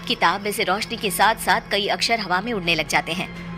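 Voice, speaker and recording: A woman's voice: medium pitch, fairly quick. A woman narrator reading a scripted horror story in a storytelling style. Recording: clear music bed under the voice. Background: background music.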